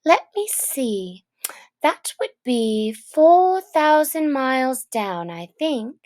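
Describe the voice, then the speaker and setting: A woman's voice: high voice, sing-song, takes it slowly, a little mellow, heavily acted. A woman voicing a curious, chatty young girl who muses to herself as she wonders about things, in a storybook reading. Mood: musing. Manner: thoughtful, wondering musing to herself. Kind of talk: storybook character voice.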